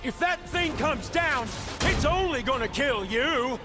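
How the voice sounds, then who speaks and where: An actor playing a sadistic, swaggering brute of a villain in a video game, with a taunting, folksy drawl. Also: male voice, very high voice, animated, measured pace, loud music behind the voice. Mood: mocking menace. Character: sadistic, swaggering male brute villain who relishes killing. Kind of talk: cinematic video game acting.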